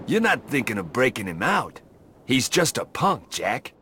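A man's voice: medium pitch, sing-song, measured pace, sharp tone, slight background noise. A man playing a smug government agent in a video game, talking in a wry, sardonic way. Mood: warning. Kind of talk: game cutscene acting.

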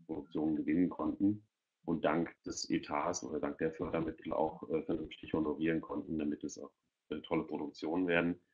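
A middle-aged man explaining in an informal, somewhat hesitant way during an online presentation over a headset. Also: deep voice. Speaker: panel guest presenting a children's audio portal. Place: indoor room, video call. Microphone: headset on a video call. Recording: dull lo-fi video-call audio.

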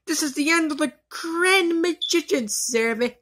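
A woman's voice: high-pitched, measured pace, a bit bright. A woman voicing a gloating, taunting villain witch in an amateur anime fandub, mocking and haughty.

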